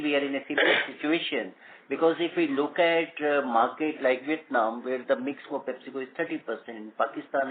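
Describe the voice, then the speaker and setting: A man's voice: mid-range voice, a little hiss or hum. A man speaking as a company executive, explaining things to an analyst on an earnings call. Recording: tinny phone line.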